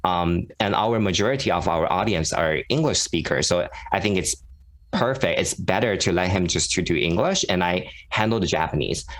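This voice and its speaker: Low-voiced, frank, rambling man. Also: normal speed, a bit bright. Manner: frank, rambling, self-mocking. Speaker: guest YouTuber. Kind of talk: casual podcast chat.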